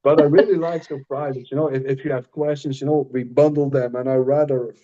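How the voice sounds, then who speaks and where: A man with a Dutch accent, a non-native English speaker co-hosting a casual podcast, talking in halting phrases with frequent restarts. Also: mid-range voice, fairly even intonation, dark tone, lo-fi sound. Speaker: podcast co-host and author.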